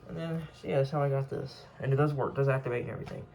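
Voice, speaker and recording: Male hobbyist, off-the-cuff show-and-tell, rambling delivery with creaky fry, light background noise. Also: medium pitch, steady tone, very fast, a little mellow, rough recording. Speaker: hobbyist collector showing a radio collection. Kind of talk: casual unscripted show-and-tell.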